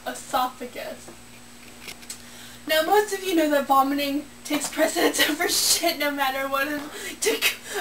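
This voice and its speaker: Young woman reading a funny story out loud, really high giggly voice, mic kinda far away w/ noise. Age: young adult. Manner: giggly, breaking into laughter while reading. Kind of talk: reading a comic story aloud. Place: small bedroom, indoors. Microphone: handheld camera a short way off. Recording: distant mic, noticeable room noise.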